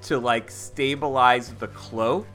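A man with a bright, forceful voice of average pitch plays a tabletop game. He talks in loose slang, working out his moves aloud, with faint music behind him. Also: fairly even intonation, slow. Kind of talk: casual improvised game chat.

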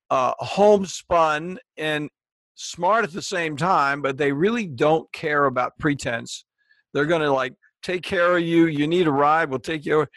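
A man's voice: on the high side, creaky, fairly bright tone, dry recording. Rambling male podcast host, dry close sound. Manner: rambling, with frequent restarts. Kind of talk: casual interview chat, then scripted outro.

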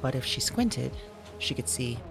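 An actress playing a sleepless, haunted woman who confides her dreams to an audio diary, halting and drowsy, sometimes slipping toward a whisper. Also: extremely low pitch, big pitch swings, gravelly, music in the background, heavily acted, poor audio. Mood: weary, intent. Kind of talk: intimate audio drama monologue as recorded diary.

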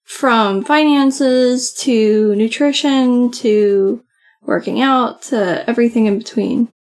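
A soft-voiced woman co-hosting a podcast, talking casually and often saying "like". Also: a little high, takes it slowly. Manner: chatty, many "like" fillers. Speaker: podcast co-host. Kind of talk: casual conversation.

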